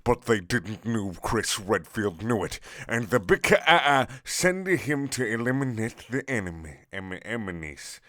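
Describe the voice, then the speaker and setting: A man's voice: fairly low pitch, big pitch swings, unhurried, few pauses, a bit bright, no room sound, clean studio sound. A man narrating a comic action story in stilted, broken English with dropped articles.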